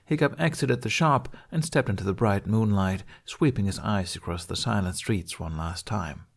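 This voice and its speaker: Low-pitched male audiobook narrator. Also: creaky. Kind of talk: scripted story narration.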